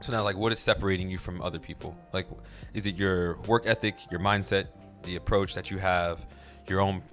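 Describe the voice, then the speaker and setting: A man's voice: low-pitched, fairly even intonation, fairly quick, a bit bright, soft background music. A man hosting a podcast interview, chatting casually with joking, sarcastic asides. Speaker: podcast host.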